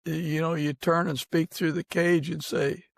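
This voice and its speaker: A man hosting a casual interview, speaking in a halting, agreeable and affirming way. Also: fairly high pitch, little pitch movement, creaky, no room sound, studio quality. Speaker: podcast host.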